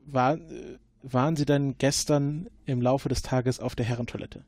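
A man's voice: mid-range voice, steady tone, moderate pace, darkish tone, no echo, lightly acted. A man playing a time-travel agent who questions a suspect in a probing, dryly sarcastic way, in an audio drama. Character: probing male time-travel agent, adult, sardonic interrogator.

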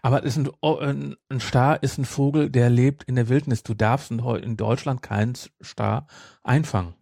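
A man hosting a podcast in casual chat, with a halting, self-interrupting way of talking. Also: mid-range voice, fairly even intonation, vocal fry, darkish tone, no room sound, clean studio sound. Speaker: podcast host. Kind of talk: casual chat, retelling a story.

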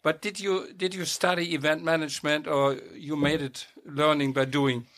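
A man's voice: on the high side, level tone, moderate pace, fairly bright tone, no room sound. A man with a German accent hosting a casual radio interview, joking and hesitating with many uhs. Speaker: radio host.

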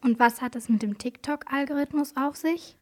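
A woman's voice: sits high, normal speed. A woman presenting on a children's radio programme, reading a scripted explainer. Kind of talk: scripted explainer with posed questions.

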